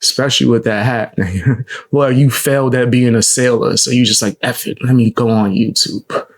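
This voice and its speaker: Young male streamer, gravelly low voice, blunt and mocking, casual live reaction. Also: steady tone, normal speed. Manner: blunt, mocking, swears freely.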